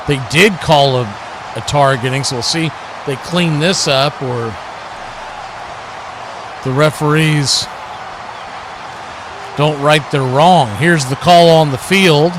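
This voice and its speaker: A man's voice: fairly high pitch, slow pace, pauses often, background noise. A man giving live American football play-by-play in clipped running description that lifts on the action. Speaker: play-by-play sports commentator, watch party host.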